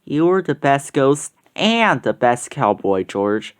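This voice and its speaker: A storybook reader voicing a kindly, gracious woman who hosts a party, speaking gently and politely. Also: medium pitch, sing-song, takes it slowly, clean tone. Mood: pleased.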